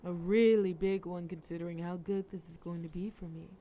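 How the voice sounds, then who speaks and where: A woman's voice: on the low side, steady tone, fairly quick, warm tone, lightly acted. A woman playing a cunning, flirtatious mafia boss in a scripted audio roleplay, sultry, persuasive and teasing, with cool threat. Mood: coaxing, menacing.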